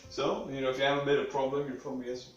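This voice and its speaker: A man's voice: medium pitch, little pitch movement, rapid-fire, highs cut off. Rambling sniffly young man in echoey kitchen. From Sweden. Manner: rambling, offhand, swears casually. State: sniffly with a cold. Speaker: home cooking video host. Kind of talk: casual cooking demonstration. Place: home kitchen. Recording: echoey room, mic at a distance, faint music.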